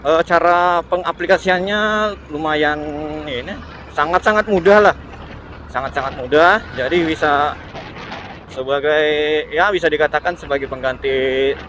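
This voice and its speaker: A man's voice: on the high side, rough recording. A man gives casual, unscripted vlog commentary while riding, repeating himself and searching for words. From Indonesia. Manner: halting, repetitive, searching for words. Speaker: motovlogger. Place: outdoors, riding a motorbike in traffic. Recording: steady engine and road noise. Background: motorbike and vehicle noise.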